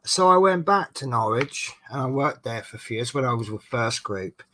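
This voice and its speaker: A man's voice: mid-range voice, animated, moderate pace, bright, forceful tone. Norfolk guy rambling away at home, loads of ums, chatty. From England. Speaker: vlogger. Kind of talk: casual chat while crafting.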